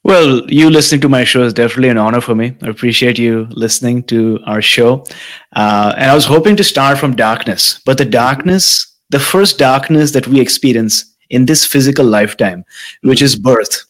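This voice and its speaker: Host: adult man, mid-range pitch, earnest and upbeat interviewer who warmly invites his guest. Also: moderate pace. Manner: earnest and enthusiastic, with light laughs. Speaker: podcast host. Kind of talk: conversational interview hosting.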